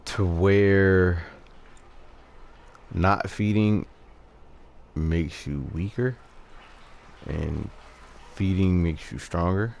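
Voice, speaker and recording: Deep, gravelly man narrating gameplay. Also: a little mellow, a little hiss or hum. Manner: unhurried, halting, thinking aloud. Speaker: gamer narrating his own playthrough. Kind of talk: casual game commentary.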